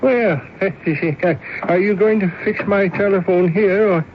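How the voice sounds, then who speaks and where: A slow, high-voiced, dithering old chairman. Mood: befuddled, genial. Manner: dithering, stammering, genial, chuckling. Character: kindly, lonely, befuddled old company chairman. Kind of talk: 1970s radio drama acting. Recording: muffled, noisy old radio broadcast.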